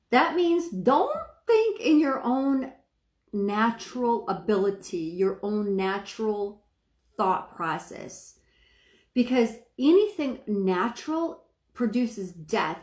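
Soft-voiced woman preaching in an echoey room. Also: a little high. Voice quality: soft speaking voice. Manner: chatty, asks the viewer questions, stretches words for emphasis. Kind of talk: informal sermon to camera. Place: small room indoors, seated.

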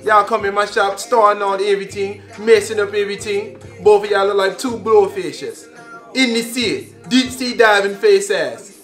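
A man's voice: high-pitched, moderate pace, fairly bright tone, faint music behind. A young man playing a barber who scolds and teases his customers with insults. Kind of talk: scripted comedy banter. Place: barbershop, indoors.

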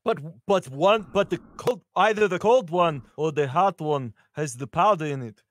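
A man voicing a friendly, simple-minded giant at a screenplay table read, with a halting delivery. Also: a little high, no room sound, clean studio sound, natural voice. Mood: simple, earnest. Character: gentle slow-witted giant brute, adult man, friendly. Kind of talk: live table read of a screenplay over video call. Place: home rooms, online video call.

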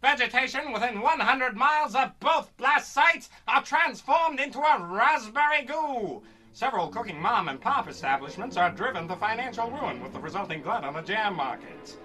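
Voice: high voice, poor audio. A performer playing a bombastic, melodramatic narrator in an old-time radio serial parody. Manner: melodramatic, grandiose.

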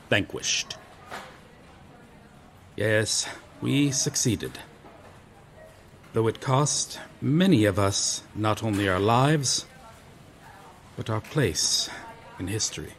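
A noble, earnest knight from medieval times, acted by a man for an audio roleplay. He speaks very slowly in a fairly low voice, with formal, old-fashioned phrasing and grave courtesy. Some ambient sound sits behind him. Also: lively intonation, slight background noise, heavily acted. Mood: grave, recounting. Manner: formal, archaic, solemn speech. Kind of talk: ASMR audio roleplay, dramatic monologue.

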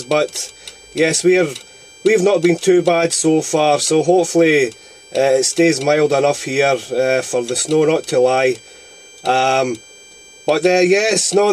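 A Scottish man chatting courteously and haltingly as an amateur radio operator making a long-distance contact. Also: on the high side, darkish tone. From Scotland.

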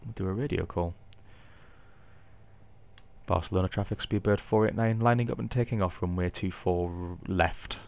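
Geordie male, deep, easygoing explainer thinking aloud, slightly muffled home-recorded sound. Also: normal speed, vocal fry, pauses often. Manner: relaxed, explanatory, thinking aloud with light asides. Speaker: flight simulator video maker. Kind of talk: casual narrated gameplay walkthrough.

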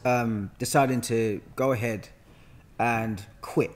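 A man's voice: mid-range voice, fairly even intonation, slow pace. London man telling his story casually. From London, England. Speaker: guest actor. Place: bright indoor room with sofa. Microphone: podcast microphone on boom arm.

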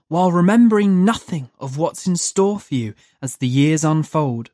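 A storyteller voicing a delicate, composed female angel of the future who speaks in a measured way. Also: medium pitch, warm tone, no echo, clean studio sound, natural voice.

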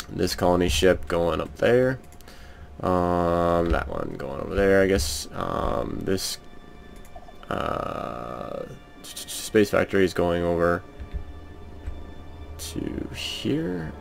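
Brief: raspy, very low-voiced man, unhurried let's-play commentary full of hesitations, soft game music behind. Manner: hesitant, thinking aloud with many ums. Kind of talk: casual gameplay commentary. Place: home room.

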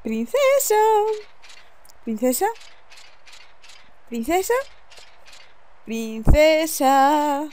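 A woman's voice: sits high, very animated, very slow, long pauses, darkish tone, full character voice. A woman from voicing a blustering, frantic male knight commander from a video game, exclaiming bossily. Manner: exclaiming, bossy.

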